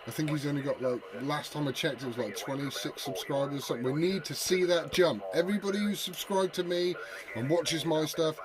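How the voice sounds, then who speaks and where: A man's voice: fairly high pitch, a little fast, few pauses, a crowd in the background, rough recording. A British man chatting casually and informally to viewers as the host of a radio hobby video, rambling in a matey way.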